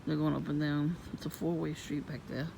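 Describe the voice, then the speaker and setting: A woman with a low voice, vlogging in a soft-spoken, rambling and suspicious way outdoors near her home. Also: flat delivery, a little fast, vocal fry. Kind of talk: casual rambling monologue. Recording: noisy outdoor ambience.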